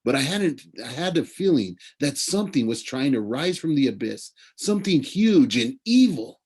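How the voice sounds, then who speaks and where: A man narrating a children's novel aloud like a teacher reading to students, in an expressive storytelling way. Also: mid-range voice, normal speed, slightly rough.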